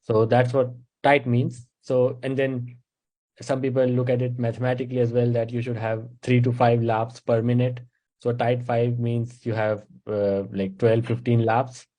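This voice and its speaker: Mellow-voiced young Indian man explaining. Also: mid-range voice, moderate pace, low-quality recording. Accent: Indian English. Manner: explains in steady steps, with frequent uh fillers. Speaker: stand-up comedian, interview guest. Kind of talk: casual interview chat.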